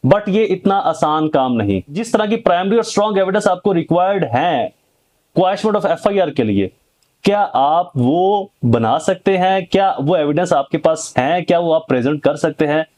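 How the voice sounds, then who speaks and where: Higher-voiced Pakistani man explaining law. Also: lively intonation, normal speed, darkish tone. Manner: emphatic, poses rhetorical questions and answers them; mixes English legal terms into speech. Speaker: advocate and legal explainer. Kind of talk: informal legal lecture to viewers.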